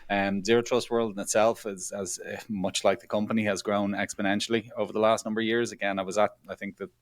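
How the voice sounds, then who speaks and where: A man's voice: on the low side, level tone, fast, dry recording. A man speaking as a guest in an interview, chatty and self-deprecating, often repeating his phrases. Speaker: guest, product executive. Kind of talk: conversational interview answers.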